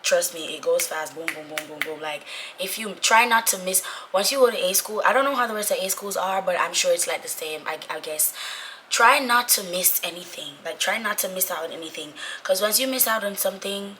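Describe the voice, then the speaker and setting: A young woman talks casually to camera in a slightly low, forceful voice, raspy and tired out by sickness. She is informal and repeats her phrases to drive a point home. Faint room ambience sits behind her. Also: fairly quick, a little hiss or hum. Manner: informal, repetitive for emphasis. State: sick, voice going away. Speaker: vlogger, Navy corpsman trainee. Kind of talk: casual chatty vlog talk to viewers.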